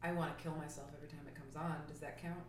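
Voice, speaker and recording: A woman in a casual chat with friends, blunt and quick to laugh. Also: low-pitched, little pitch movement, reverberant, a little hiss or hum.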